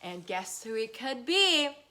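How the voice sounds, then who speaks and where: A woman's voice: fairly high pitch, sing-song, takes it slowly, sharp tone, slight background noise. A woman storyteller narrating for young children, with simple, repetitive phrasing that speaks straight to them.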